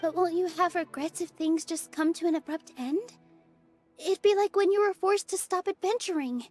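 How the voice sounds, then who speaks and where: A woman's voice: very high voice, moderate pace, darkish tone, faint music behind. A woman voicing a young, sweet dancer who speaks politely, earnestly and modestly. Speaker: theater dancer. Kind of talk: game voice acting.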